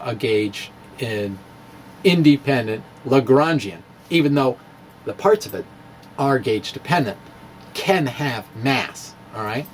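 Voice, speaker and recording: A man walks through physics equations at a chalkboard in a loose, joking way, trailing off into ums and chuckling at his own lines. His voice sits a little on the high side. Faint hiss sits under the recording. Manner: chatty and self-amused, many fillers, chuckles at own jokes. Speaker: physics blogger giving a talk. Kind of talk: informal physics lecture at a chalkboard.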